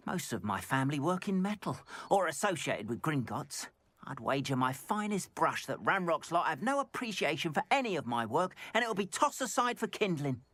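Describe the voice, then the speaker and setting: Expressive, gentle goblin painter. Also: male voice, on the high side, no room sound, heavily acted. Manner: measured, expressive, formal old-fashioned phrasing. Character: gentle, wronged goblin painter, earnest and peace-loving. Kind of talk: video game character acting.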